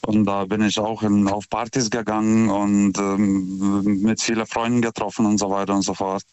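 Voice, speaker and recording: A man in his forties, not a native speaker of the language, calling in to a radio show and talking haltingly as he searches for words. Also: lowish voice, level tone, a little mellow, clean tone, no room sound. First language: not German. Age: 40s. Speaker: caller. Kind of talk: phone-in call. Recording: dull dry phone line.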